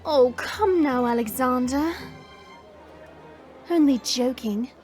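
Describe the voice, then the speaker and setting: Proud, teasing young princess for audio drama female actor, very high voice, animated, music underneath. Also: takes it slowly. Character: haughty, playful, naive small young princess in disguise.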